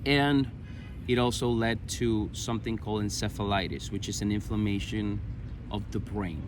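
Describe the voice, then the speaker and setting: A man in his thirties from Venezuela with a Venezuelan Spanish accent, telling his own story conversationally, haltingly searching for words. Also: fairly low pitch, steady tone, background noise. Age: 30s. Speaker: interview guest.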